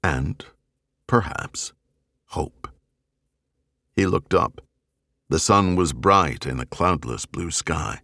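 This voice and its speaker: A man narrating an audiobook novel in a grave, measured, dramatic way with deliberate pauses. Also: sits low, expressive, sharp tone, no echo, studio quality. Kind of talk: dramatic scripted narration of a science fiction novel.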